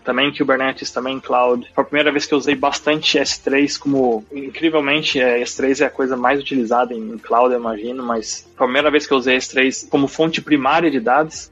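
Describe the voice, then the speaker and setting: A man in his thirties with a Brazilian Portuguese accent talks through his career in a relaxed, rambling way. His voice comes through a muffled, lo-fi line. Soft music plays faintly underneath. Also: medium pitch. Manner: relaxed, thoughtful, rambling explanations. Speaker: guest, IT manager. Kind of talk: casual interview. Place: home in London.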